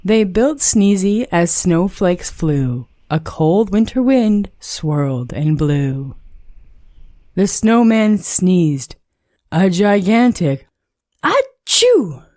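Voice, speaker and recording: A woman narrating a children's story in a measured, expressive read-along style. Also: lowish voice, no room sound, studio quality.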